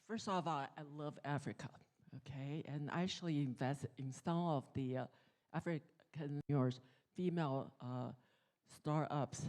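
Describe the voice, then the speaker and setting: A woman from Taiwan giving an informal conference presentation, speaking candidly in a halting way. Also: low-pitched, a little mellow. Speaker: tech executive and guest speaker. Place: conference stage.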